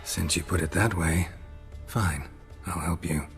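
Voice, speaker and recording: An actor playing a wry, stoic monster hunter in a video game, gravelly and laconic with deadpan, clipped phrasing. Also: male voice, sits low, measured pace, soft background music. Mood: wry, flat. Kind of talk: video game cutscene dialogue.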